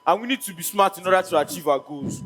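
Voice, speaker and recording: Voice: mid-range voice, fast, fairly bright tone, a little hiss or hum, natural voice, low-quality recording. A performer with a Nigerian accent playing a scheming, defiant male chief in a stage play, speaking emphatically and insistently. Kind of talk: stage play acting, declamatory dialogue.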